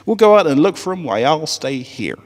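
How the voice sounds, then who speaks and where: A man's voice: a little high, very animated, brisk, long reverb tail, pitched above the performer's own voice. A man voicing a caring female farm pony from a story, folksy and earnest, with an American Southern accent. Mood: soothing.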